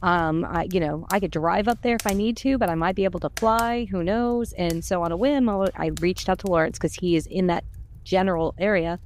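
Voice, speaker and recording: A woman's voice: lowish voice, brisk, darkish tone, slight background noise. A middle-aged woman telling stories casually, in an exuberant, chatty and self-deprecating way. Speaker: audio drama voice actor and podcast cast member. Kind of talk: casual conversational storytelling.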